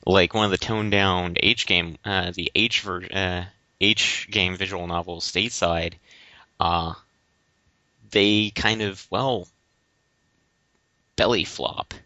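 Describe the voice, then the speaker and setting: A man co-hosting a casual debate podcast, speaking in a halting, stammering way. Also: low voice, fairly even intonation, bright, forceful tone, no echo. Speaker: podcast co-host. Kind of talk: casual debate-style chat. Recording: slightly dull, lo-fi audio.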